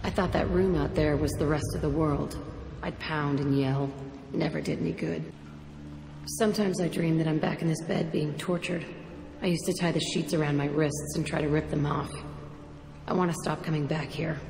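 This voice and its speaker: Hardened angry ex-prisoner, low echoey voice. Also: female voice, fairly even intonation, moderate pace. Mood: bitter, haunted. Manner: blunt, profane, defiant. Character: hardened, foul-mouthed young female biotic ex-prisoner, angry and wounded. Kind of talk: video game cutscene acting. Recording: echoey hall, clear background noise.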